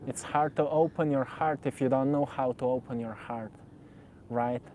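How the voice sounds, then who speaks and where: Young man in his twenties, Slovenian first language, warm mellow tone, gentle reflective monologue with repeated phrases. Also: medium pitch, fairly even intonation, normal speed, slight background noise. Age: 20s. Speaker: spiritual video host.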